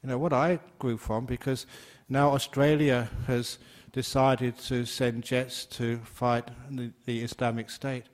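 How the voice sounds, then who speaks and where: An older English-born Buddhist monk giving a chatty, joking Dharma talk, answering questions from the floor and laughing often. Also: male voice, mid-range voice, level tone, unhurried, hardly any pauses.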